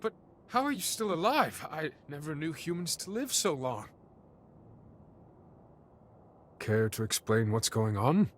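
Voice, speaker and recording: A man's voice: fairly high pitch, sing-song, moderate pace. An actor playing a careworn, courteous father in a dramatic video game, speaking earnestly and a little formally. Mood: astonished. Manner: earnest, polite, slightly formal. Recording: faint background noise, ambience. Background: faint ambient noise.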